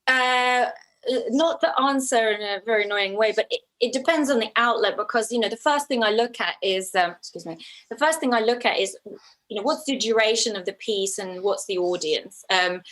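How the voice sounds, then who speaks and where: A woman's voice: mid-range voice, a bit bright, poor audio. A woman from Ukraine speaking as an interview guest, a documentary filmmaker who answers conversationally and thoughtfully, often restarting her phrases.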